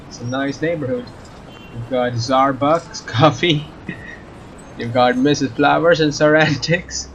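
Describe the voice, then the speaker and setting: Let's play host: male, slightly high voice, casual flippant reading, slightly dull mic, faint music. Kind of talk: reading game text aloud with joking casual asides. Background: faint game music.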